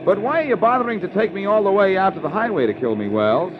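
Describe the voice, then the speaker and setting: A man playing a cocky, sardonic amateur detective, calm under threat, in 1940s radio acting style. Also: sits high, fast talker, noisy background. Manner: sardonic, teasing. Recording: muffled old radio recording, background din.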